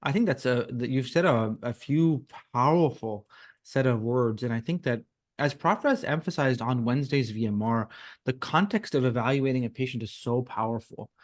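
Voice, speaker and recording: A man co-hosting a medical case conference who talks in a measured, deliberate, reflective way. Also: medium pitch. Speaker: co-host, teacher. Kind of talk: reflective teaching talk. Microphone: video call.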